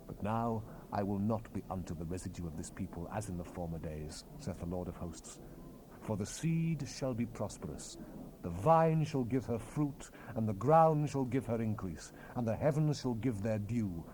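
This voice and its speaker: Through light cassette hiss comes a man performing a solemn Hebrew prophet in a scripture drama. His tone is soft-edged and dark, and his pitch rises and falls widely as he declaims with commanding, emphatic phrasing. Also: animated, moderate pace, natural voice, poor audio. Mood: solemn, proclaiming. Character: solemn, commanding male Hebrew prophet relaying God's word. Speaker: scripture narrator. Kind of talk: dramatized Bible reading. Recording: hissy unprocessed cassette tape rip.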